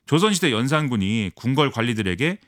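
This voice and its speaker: Male pundit, quick and lively, higher voice, dry close sound. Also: dry recording. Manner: fast, animated explaining with many fillers. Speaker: political commentator. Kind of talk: radio current-affairs analysis.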